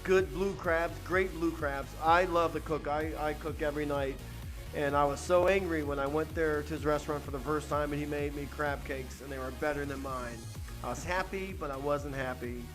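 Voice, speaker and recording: Chatty upbeat man with a higher voice. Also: steady tone, music in the background, poor audio. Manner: chatty, upbeat, quick shout-outs. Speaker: rum company guest. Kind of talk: casual chat, greeting viewers.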